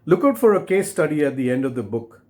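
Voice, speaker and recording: A man presenting and reading his own book to listeners in a deliberate, measured way, in short phrases with pauses. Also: fairly high pitch, sing-song, darkish tone.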